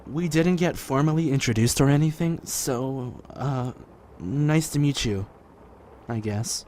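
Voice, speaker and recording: A man acting a patient, soft-hearted young survivor in an apocalypse audio drama, a hesitant, pleading peacemaker. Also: mid-range voice, measured pace, slight background noise, natural voice. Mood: subdued, kind.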